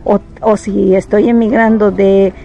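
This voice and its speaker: A woman's voice: mid-range voice, little pitch movement, measured pace, lo-fi sound, faint music behind. A woman from Peru, a psychologist, explaining in an interview in a reflective way, often checking in with tag questions. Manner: reflective, thinks aloud with many tag questions.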